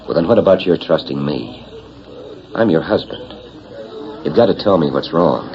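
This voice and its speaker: An actor playing an earnest, troubled young cowboy husband with hesitant folksy speech in a 1940s radio western. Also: male voice, extremely low pitch, animated, moderate pace, gravelly, full character voice. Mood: suspicious, hurt. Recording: noisy, muffled 1940s radio recording.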